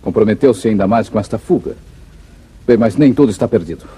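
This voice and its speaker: Guy playing brazilian radio western hero from the 50s, deep-ish super dark husky voice, calm and determined. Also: brisk, a little hiss or hum, natural voice. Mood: concerned, determined. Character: resolute, upright hero of the Brazilian backlands. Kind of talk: 1950s Brazilian radio drama acting.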